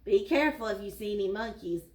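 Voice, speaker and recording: A woman's voice: medium pitch, fast, lightly acted. A woman voicing a helpful, cautioning storybook elephant that gives advice. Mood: advising. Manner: advising. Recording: echoey room.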